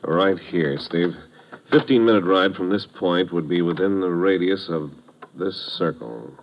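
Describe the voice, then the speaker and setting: Deep, laconic male army major. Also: measured pace. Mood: thoughtful. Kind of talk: 1950s radio drama acting. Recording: muffled, faintly noisy old radio recording.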